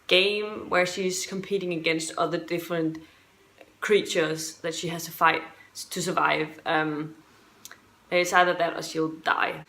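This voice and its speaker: A woman's voice: lowish voice, vocal fry, fairly bright tone. A woman from Denmark with a Danish accent, giving a casual book review to viewers in a hesitant, rambling, self-correcting way. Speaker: book reviewer and video host.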